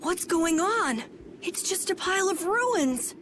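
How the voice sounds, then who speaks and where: A woman voicing a brash, excitable, hot-tempered young girl in a game. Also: very high-pitched, slow pace, slight background noise, full character voice. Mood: baffled. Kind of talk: English game dub acting.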